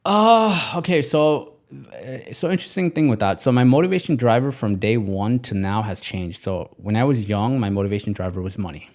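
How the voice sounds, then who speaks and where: A man's voice: mid-range voice, expressive, normal speed, darkish tone. A man in his twenties from Pakistan, talking energetically and emphatically as a podcast guest, often repeating his phrases. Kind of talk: casual interview talk.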